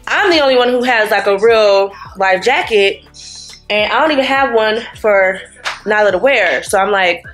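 A woman's voice: mid-range voice, normal speed, fairly bright tone, faint music behind. A woman in her thirties chatting casually to camera in a hotel room, teasing and telling stories with quoted asides. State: sniffly. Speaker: vlogger and mother. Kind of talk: casual vlog chat to camera.

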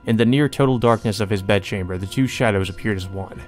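A man's voice: on the low side, fast talker, soft background music. A man hosting a let's play, reading a visual novel aloud and reacting casually.

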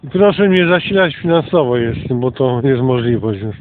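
A man, hosting a casual outdoor narrated walk for his channel and pausing often to think as he comments on what is around him. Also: mid-range voice, expressive, a loud crowd. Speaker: channel host. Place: outdoors, walking through countryside. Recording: muffled phone-like narrow-band sound.

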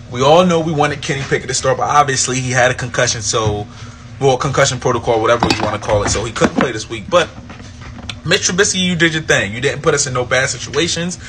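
A young man giving a casual, animated post-game review as a sports fan, rambling with frequent restarts. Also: mid-range voice, slight background noise.

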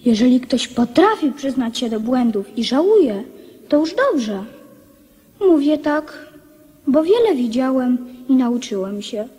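A woman playing a young boy king in a radio play, earnest and forgiving, speaking in a measured, formal way. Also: sits high, sing-song, warm tone, breathy edge, full character voice. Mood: solemn. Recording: long reverberant echo.

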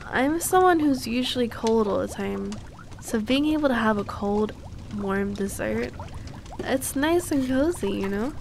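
A woman playing a cute, kind and teasing young alchemist girl in an ASMR roleplay, speaking softly and soothingly close to the mic. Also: a little high, lively intonation, gravelly, noisy background. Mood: playful, cozy. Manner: soft, soothing, unhurried, playfully reassuring.

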